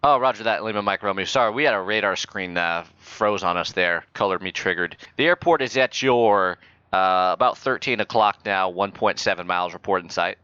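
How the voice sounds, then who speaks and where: A man speaking as a mock-official air traffic controller and announcer, improvising in a deadpan manner. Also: low-pitched, a little fast, clean tone, dry recording, lo-fi sound. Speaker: flight simulator player acting as air traffic controller and airshow announcer. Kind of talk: improvised radio talk and mock commentary.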